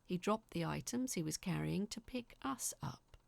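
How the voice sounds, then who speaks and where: A woman reading a scripted first-person story as narrator, in a measured, dramatic storytelling manner. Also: on the low side, lively intonation, gravelly, a little mellow, no echo.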